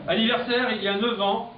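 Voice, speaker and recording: High-voiced comic in an echoey club. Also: male voice. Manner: punchy deadpan joke delivery. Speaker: comedian. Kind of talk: stand-up comic press review. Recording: echoey, distant, dull live-club recording with noise.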